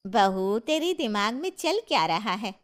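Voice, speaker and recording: A woman's voice: mid-range voice, big pitch swings, unhurried. A woman playing an anxious, outspoken village woman in a cartoon moral story, plainspoken and questioning. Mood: worried. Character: anxious, outspoken village women of one family.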